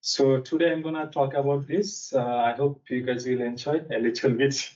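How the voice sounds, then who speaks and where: A young man from Bangladesh presenting a technical seminar in a conference room, talking haltingly with frequent fillers. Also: mid-range voice, fairly even intonation, darkish tone. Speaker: seminar speaker. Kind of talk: technical lecture. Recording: distant mic, dull sound.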